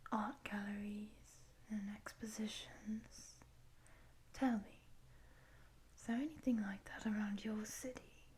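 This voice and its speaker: A woman voicing a friendly, curious lamia in a roleplay, in a soft breathy whisper, teasing and affectionate, taking her time. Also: medium pitch, a little hiss or hum. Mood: gentle, interested. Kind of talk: whispered roleplay audio addressed to the listener.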